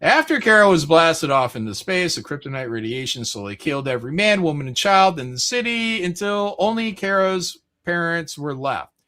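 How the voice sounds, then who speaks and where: Male livestream host, forceful bright tone, casual and sweary, stumbles when reading. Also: on the high side, big pitch swings, normal speed, hardly any pauses. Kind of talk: casual livestream chat and halting read-aloud.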